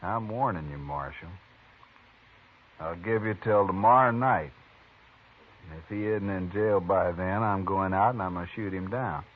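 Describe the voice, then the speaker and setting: Hard-headed revenge cowboy, low voice, blunt threats, tinny old radio. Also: male voice, unhurried, long pauses. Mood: menacing. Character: grim vengeful male frontiersman, stubborn. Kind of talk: 1950s radio acting.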